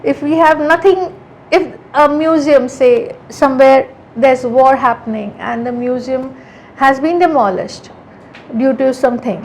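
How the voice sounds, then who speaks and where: A woman's voice: high-pitched, unhurried, slight background noise, poor audio. A woman from India, an art conservator guesting on a podcast, explaining her work earnestly and often restarting her sentences mid-thought. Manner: earnest, thinks aloud with frequent restarts. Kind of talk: casual interview conversation.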